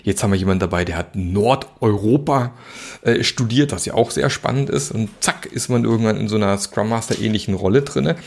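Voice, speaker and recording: A man's voice: lowish voice, fairly quick, gravelly. A male podcast host chatting casually with his guest, with lots of restarts and fillers. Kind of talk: casual interview.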